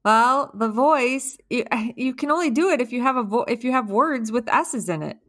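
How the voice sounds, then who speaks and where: A middle-aged woman co-hosting a casual chat with her grown daughter, modest and gently wry. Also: on the high side, normal speed. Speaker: co-host, mother.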